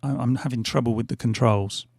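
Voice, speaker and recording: A man's voice: mid-range voice, fairly even intonation, a little fast, creaky, a little mellow, no room sound, clean studio sound. A middle-aged man losing his voice, rambling through casual gameplay commentary with lots of fillers and restarts. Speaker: gaming channel host. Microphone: headphones on, desk mic.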